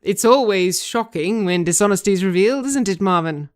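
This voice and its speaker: A woman's voice: medium pitch, expressive, a little fast, lightly acted. Woman acting an arch TV show host. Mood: mock concern. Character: slick, smirking television game show host.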